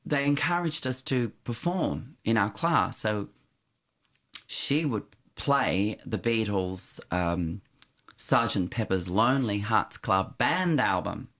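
A middle-aged man, an interview guest, recalling his school days in a reflective, storytelling way, with frequent restarts and soft laughs. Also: on the low side, animated, slow.

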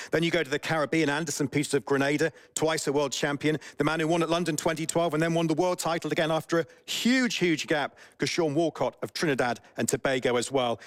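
A middle-aged man working as an athletics commentator, giving fluent, emphatic analysis in conversation. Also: medium pitch.

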